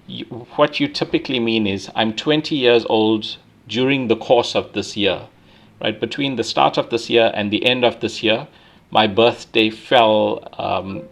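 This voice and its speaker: A male lecturer talks through his material step by step, often pausing on fillers and restarting his sentences. His voice sits low with a gravelly, creaky edge. He keeps checking in with his students by asking "right?". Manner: frequent fillers and restarts while thinking aloud. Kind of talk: university lecture.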